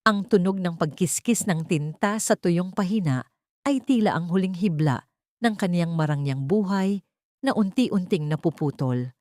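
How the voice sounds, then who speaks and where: Female storyteller for melodramatic fiction, slightly low voice, dry close recording. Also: lively intonation, a little slow, a little mellow, dry recording. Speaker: story narrator. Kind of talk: dramatic scripted audio story narration.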